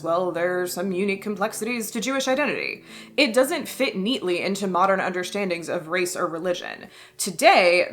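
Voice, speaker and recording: A woman's voice: mid-range voice, a bit bright. A woman, a history and costume video creator, reading a scripted video essay in a wry voice with playful emphatic asides.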